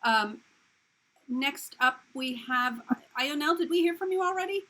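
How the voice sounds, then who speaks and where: A woman running an online group call, calling on people by name in a brisk way and laughing easily. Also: high voice, expressive, bright, forceful tone, a little hiss or hum, rough recording. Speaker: call organizer. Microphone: video call.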